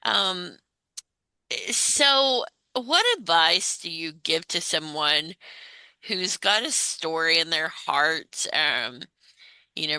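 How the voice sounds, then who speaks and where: A woman hosting a conversational interview, phrasing her questions in a halting, hesitant way. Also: deep voice, bright, forceful tone, clear, resonant tone, no echo, low-quality recording. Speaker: interviewer and host.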